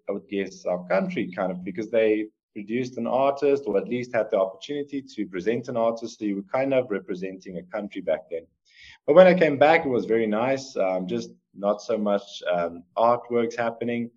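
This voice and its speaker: Afrikaans-accented guest, 30s, low voice, earnest and rambling, dull video call line. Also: male voice, darkish tone. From South Africa. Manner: rambling, earnest, with many fillers and restarts. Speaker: guest artist and coder. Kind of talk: casual interview answers. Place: home room on a video call. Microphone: laptop or webcam mic on video call.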